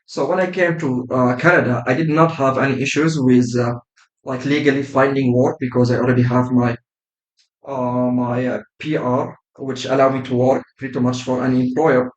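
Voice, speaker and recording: A man in his thirties from Sudan, a guest on a podcast, speaking in a measured way and often adding "right?" as he talks. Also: medium pitch, level tone, roomy sound, muffled recording. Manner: measured, with frequent "uh" and "right?" tags. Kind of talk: casual podcast conversation.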